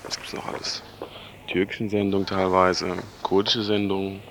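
A man giving halting, casual answers in a street interview, searching for examples as he talks. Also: low voice, level tone, a bit bright. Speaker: passer-by being interviewed. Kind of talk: casual spontaneous street chat. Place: outdoors on a busy street. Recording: clear street noise behind.

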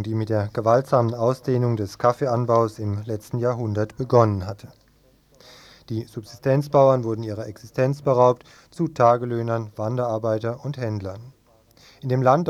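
A man's voice: mid-range voice, a little mellow, dry recording. Male radio presenter reading a scripted report. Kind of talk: scripted news narration.

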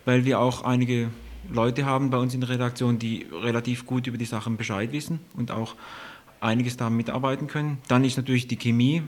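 A man's voice: medium pitch, flat delivery, fairly quick. A man giving an unscripted explanation in a radio studio, hesitant and searching for his words. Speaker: radio editorial team member.

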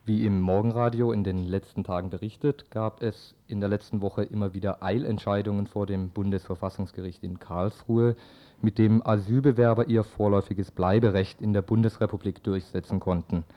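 A man's voice: fairly low pitch, fairly even intonation, brisk, lo-fi sound. A man reading a scripted introduction as a radio news reporter and interviewer.

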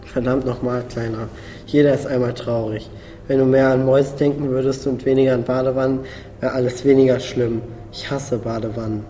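A man playing a blunt, worldly-wise talking cat in a radio play, gruff and matter-of-fact. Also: mid-range voice, flat delivery, fairly quick, warm tone, a little hiss or hum, lightly acted. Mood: grumpy. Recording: long reverb, faint music behind.

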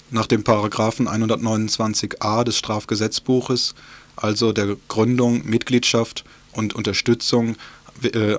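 Low-voiced man reading news evenly. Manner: sober, matter-of-fact. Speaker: radio news presenter.

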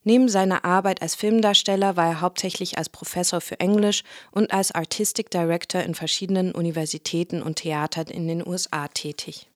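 A woman's voice: fairly low pitch, fairly even intonation, fairly quick, few pauses, no echo. A woman narrating a scripted radio feature as interviewer and presenter. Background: music.

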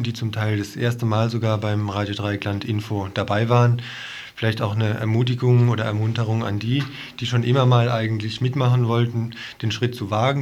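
A man's voice: on the low side, darkish tone, slight background noise. A man hosting community radio news, speaking in an informal, plain-spoken way as he signs off. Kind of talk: informal live sign-off.

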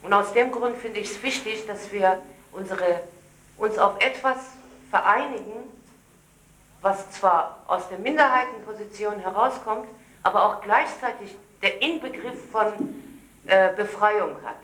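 A woman's voice: medium pitch, measured pace, a bit bright. A woman speaking publicly in a political address at a gathering, recorded live in a room. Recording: roomy, echoing event recording.

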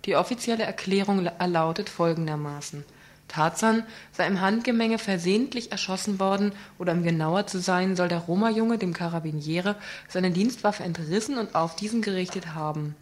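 A woman's voice: lowish voice, normal speed. A woman reading a scripted report on a community radio news program, earnest and questioning, with small slips.

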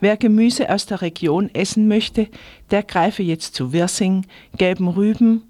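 A woman delivers a chatty radio market report from a script. Her pitch sits in the middle of the range. The sound is dry and close, with no room around it. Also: measured pace, dark tone, dry recording.

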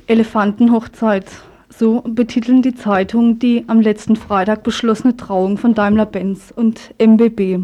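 A woman's voice: fairly high pitch, flat delivery, unhurried, few pauses, warm tone, muffled recording. A woman reading a scripted radio commentary.

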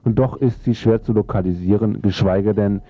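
Low, dry-sounding man reading aloud. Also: normal speed, no echo, muffled recording. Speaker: reader on a radio programme.

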